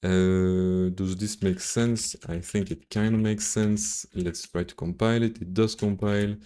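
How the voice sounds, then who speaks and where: Low, slow-spoken Frenchman thinking aloud. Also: fairly even intonation, dark tone, clear, resonant tone. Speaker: live-streaming programmer. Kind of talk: casual think-aloud coding commentary.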